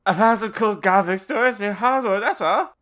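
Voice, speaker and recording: A woman voicing a lively, crude teenage goth girl in a comic story reading, exaggerated and slangy. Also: medium pitch, normal speed, lightly acted. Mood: animated.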